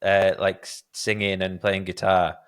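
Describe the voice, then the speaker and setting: A man with a lowish, smooth and resonant voice hosts a podcast interview. He talks in a casual, conversational way and tells candid, self-deprecating stories about himself. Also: flat delivery, unhurried. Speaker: podcast host.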